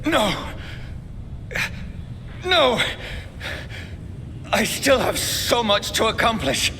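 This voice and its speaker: An actor playing a frightened, bewildered gentleman vampire in a video game, anguished and pleading. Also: high voice, sing-song, extremely slow, slight background noise, exaggerated voice. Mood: desperate. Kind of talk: dramatic video game acting.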